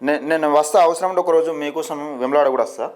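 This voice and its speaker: A man's voice: fairly high pitch, quick pace, darkish tone. A man with a Telangana Telugu accent interviewing over the phone as a reporter, insistent and often rephrasing his questions.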